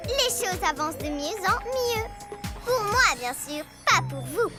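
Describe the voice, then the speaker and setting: A woman's voice: very high voice, big pitch swings, unhurried, exaggerated voice. A woman voicing a petulant, vain young sorceress villainess, theatrical and pouting, in a cartoon dub. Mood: smug. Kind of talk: animated children's cartoon dub. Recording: loud music under the dialogue.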